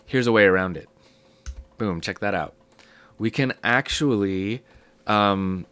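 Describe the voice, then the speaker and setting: A man who is sick, his voice low and rough, teaching a casual music theory lesson and thinking aloud with frequent ums. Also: a bit bright, dry recording.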